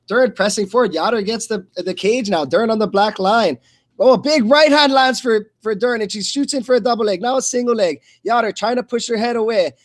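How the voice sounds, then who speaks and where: Male play-by-play sports commentator, high register, blow-by-blow delivery with frequent stumbles and repeats. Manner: halting, repeats words and stumbles mid-phrase.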